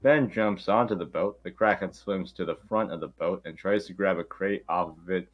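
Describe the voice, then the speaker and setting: Really deep voiced guy reading stage directions, informal amateur narration, faint music under it. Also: normal speed, dull audio.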